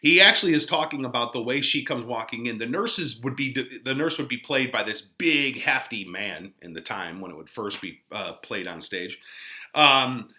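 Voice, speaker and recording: Man, very bright forceful voice, lively pitch swings, informal teacher explaining drama, conversational. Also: moderate pace. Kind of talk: explanatory lesson commentary on a play.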